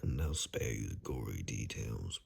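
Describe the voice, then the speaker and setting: A man playing a calm, wry forest ranger in a hushed ASMR roleplay, speaking slowly in a breathy, gravelly whisper with dry understatement. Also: a bass voice, exaggerated voice. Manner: slow, hushed, dryly understated.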